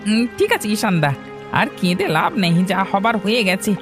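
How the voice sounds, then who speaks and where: A man's voice: sits high, very animated, brisk. A man voicing a sympathetic younger villager in a cartoon, earnest and soothing. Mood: consoling. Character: sympathetic younger village friend, male, consoling. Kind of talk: cartoon fairy-tale voice acting. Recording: music bed behind the voice.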